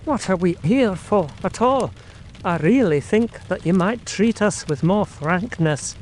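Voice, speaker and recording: A man plays an impatient, sceptical inspector in an audiobook drama. The voice is pitched high, yet the manner is gruff and grumbling. There is faint rain-like noise behind it. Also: lively intonation, measured pace, darkish tone.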